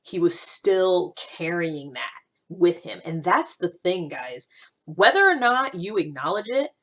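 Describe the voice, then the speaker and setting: A woman's voice: on the low side, lively intonation, a little slow, fairly bright tone. A woman talking casually and reflectively to her listeners, thinking aloud in an informal, searching way with emphatic points. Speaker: host of a personal-growth series.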